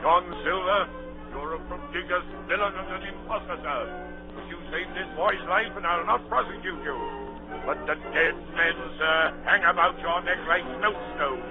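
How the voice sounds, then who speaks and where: A stern, indignant gentleman in an old radio drama. The voice is high, the sound dull and dated, with music behind. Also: normal speed.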